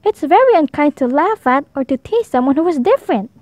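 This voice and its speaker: A woman's voice: high voice, very animated, a little fast, a little mellow, no echo. A woman voicing a kind but firm female primary school teacher in a storybook, instructive in manner. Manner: clear, instructive.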